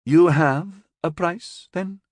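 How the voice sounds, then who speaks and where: A man's voice: a little high, takes it slowly, no room sound, clean studio sound, voice pushed up. A man raising his voice into a blunt woman director. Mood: firm. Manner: clipped, firm, matter-of-fact. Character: cool, blunt female security director.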